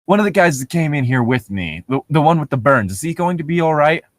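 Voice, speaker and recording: Very animated man joking through a read-aloud. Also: fairly high pitch, quick pace, no room sound, studio quality. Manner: irreverent, sweary, giggly, stumbles while reading. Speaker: co-host of a creepypasta read-along.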